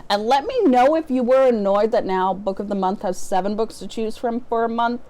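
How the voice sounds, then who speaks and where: A woman's voice: a little high, brisk, warm tone. A woman from the US Midwest chatting casually as a book vlogger, rambling and breaking off her own sentences as she goes. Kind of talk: casual unboxing vlog chat.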